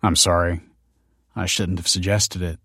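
A man's voice: low-pitched, level tone, unhurried, fairly bright tone, husky, no echo, studio quality, heavily acted. A man playing an earnest, protective father and husband in an audiobook, speaking insistently. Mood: earnest, persuading.

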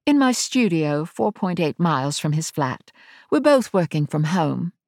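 A woman voicing a brisk, matter-of-fact tech specialist with an English accent, in an audiobook story. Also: deep voice, big pitch swings, darkish tone, no echo, studio quality, exaggerated voice.

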